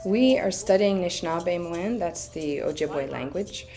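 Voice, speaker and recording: Woman academic from the Midwest, measured documentary interview tone, soft music underneath. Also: on the low side, lively intonation. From Midwest United States. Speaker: anthropology professor.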